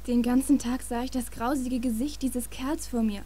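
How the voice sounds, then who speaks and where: An actress playing a jittery, excitable, frightened teenage girl in a German audio drama. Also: on the high side, moderate pace, a little hiss or hum, lightly acted. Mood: shaken.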